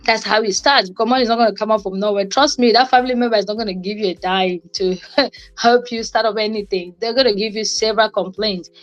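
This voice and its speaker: A woman's voice: medium pitch, fairly even intonation, quick pace, muffled recording. A young adult woman giving an informal motivational talk on a livestream, conversational and repeating phrases for emphasis. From Cameroon. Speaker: co-host and guest speaker on a livestream.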